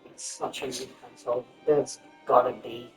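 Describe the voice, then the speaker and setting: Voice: medium pitch, level tone, normal speed. Streamer chatting to themselves while gaming, sweary n rambly, bit far from mic, music going in the background. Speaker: game streamer. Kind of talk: casual gaming chat, thinking aloud.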